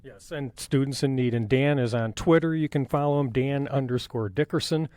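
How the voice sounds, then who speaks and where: A man's voice: medium pitch, moderate pace, a little mellow. A man hosting a radio interview, delivering a sign-off with plugs and thanks.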